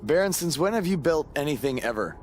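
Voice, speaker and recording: An actor playing a young pro wrestler, casual and sarcastic, in a video game cutscene. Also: male voice, a little high, big pitch swings, a little fast, slight background noise.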